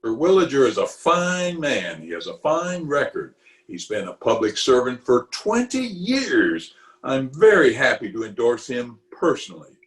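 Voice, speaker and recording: A pompous, flirtatious middle-aged town official from a 1940s-style radio comedy, acted by a man. The delivery is theatrical, unhurried and full of swooping pitch. It comes through a roomy, echoing video-call recording. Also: big pitch swings. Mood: blustering, indignant. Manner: blustering, theatrical, wheedling.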